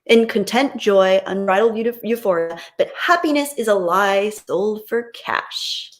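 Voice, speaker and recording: A woman's voice: medium pitch, unhurried. A woman hosting a livestream, chatting casually and reading out viewer comments in a gushing, appreciative, playful way. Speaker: livestream host.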